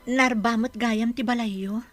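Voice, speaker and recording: A woman acting a gentle, devout mother and wife in a radio drama. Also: on the high side, steady tone, measured pace, music in the background, close to an everyday voice. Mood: kindly, earnest.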